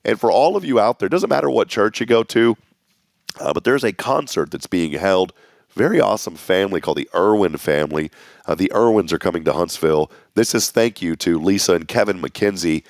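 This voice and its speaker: A man hosting a local morning show, upbeat and folksy, his voice going hoarse. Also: low voice, dry recording, studio quality. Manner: folksy, upbeat community announcer. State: losing his voice. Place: small local TV studio.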